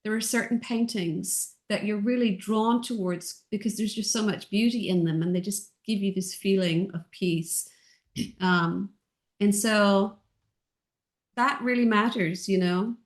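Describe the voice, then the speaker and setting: A middle-aged woman from Ireland with a softened Irish accent, chatting as an interview guest in a gentle, reflective way. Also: medium pitch, a little slow. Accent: Irish, softened by years in Texas. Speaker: guest, author and audiobook narrator. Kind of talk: casual interview chat.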